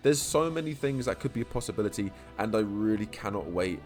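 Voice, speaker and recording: A man talking through game-lore theories in a casual vlog. He stutters and repeats himself, restarting words and rambling on quickly. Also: lowish voice, animated, a little mellow, faint music behind. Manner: talks quickly. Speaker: YouTube lore creator.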